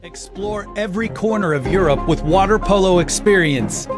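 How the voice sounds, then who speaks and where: High-pitched male advert announcer over loud music. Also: moderate pace. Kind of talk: scripted promotional narration.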